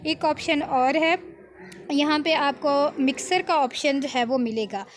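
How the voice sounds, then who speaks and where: A high-pitched woman talks through a software tutorial step by step. She is informal and keeps adding little check-in tags as she teaches. There is faint background noise on the recording. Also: unhurried. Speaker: video editing tutor.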